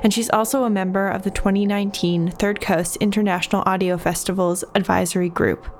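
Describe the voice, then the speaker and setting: A woman host reading a scripted guest introduction in a clear, measured way. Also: medium pitch, fairly even intonation, soft background music.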